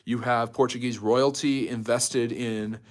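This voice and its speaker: Man, medium pitch, live stream host, history educator, casual Q&A delivery, chatty, informal lecturing. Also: steady tone, unhurried. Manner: chatty, jumps between topics, reads out and answers viewer comments.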